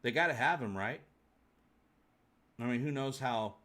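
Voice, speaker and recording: A man hosts a casual livestream for fans. His voice sits at an ordinary male pitch. He rambles in a jokey, colloquial way as he replies to viewers. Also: lively intonation, unhurried, bright, forceful tone. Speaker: host of a fan livestream. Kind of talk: casual chat, answering live viewer comments.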